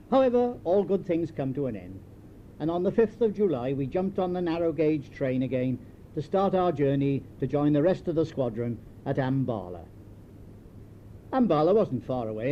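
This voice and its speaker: An older British man reading his own written memoir in a measured, wry, understated storytelling manner. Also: on the high side. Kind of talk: scripted memoir narration. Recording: dull lo-fi home tape, faint noise.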